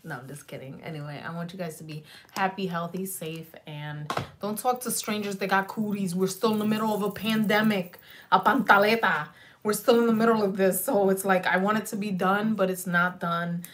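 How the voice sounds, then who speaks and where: A woman in her thirties chatting loosely on a beauty livestream, swearing freely, dropping in Spanish words, her slightly raspy voice going in and out. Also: medium pitch, fairly even intonation, a little fast. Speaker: beauty vlogger. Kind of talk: rambling casual livestream chat. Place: small home beauty room, indoors.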